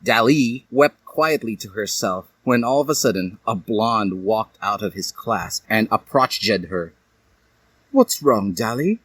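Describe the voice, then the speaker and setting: A man's voice: lowish voice, a little slow, hardly any pauses, a bit bright, no echo. A man narrating fan fiction as a comic dramatic reading, with a theatrical, mock-serious delivery.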